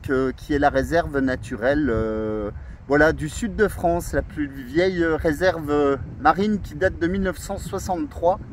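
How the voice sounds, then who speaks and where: A man's voice: fairly high pitch, a little mellow, a little hiss or hum. A man operating a portable CB radio outdoors, chatting in a casual, friendly way with radio jargon. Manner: friendly, spells words in radio alphabet. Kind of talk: casual radio contact chat. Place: outdoors among pine trees on an island.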